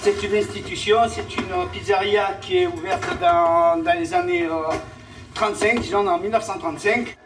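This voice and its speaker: A man's voice: high voice, big pitch swings, moderate pace, gravelly, a little hiss or hum. A man plainly explaining things in a casual interview. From Marseille, France.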